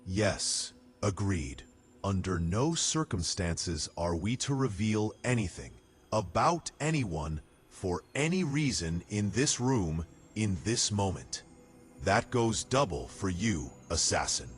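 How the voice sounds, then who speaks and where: Man as a low-voiced, stern fantasy knight. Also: expressive, takes it slowly, faint music behind. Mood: firm. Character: stern, dutiful castle knight man, wary and formal. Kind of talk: fantasy audio drama acting.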